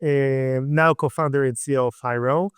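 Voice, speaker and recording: A man in his thirties from Israel with an Israeli accent, a startup founder talking casually in an interview, relaxed with many fillers. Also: medium pitch, normal speed, clean tone, dry recording, clean studio sound. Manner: relaxed, fillers and restarts, laughs lightly. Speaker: guest, startup CEO.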